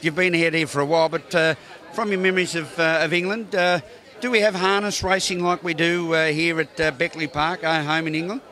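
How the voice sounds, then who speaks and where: A man's voice: fairly high pitch, fairly even intonation, brisk, bright, forceful tone. A man with an Australian accent presenting local TV from a racecourse lounge, effusive and joking with guests.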